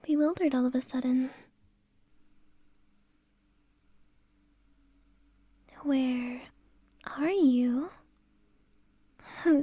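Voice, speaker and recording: A woman performing an ASMR roleplay as a flirtatious, teasing female boss, speaking in a soft whisper close to the mic. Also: high-pitched, no room sound.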